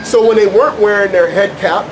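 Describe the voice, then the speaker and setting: A man's voice: high voice, a little fast, background noise. An American man preaching and teaching scripture informally, arguing his points in an emphatic, repetitive, colloquial way. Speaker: street preacher and Bible teacher.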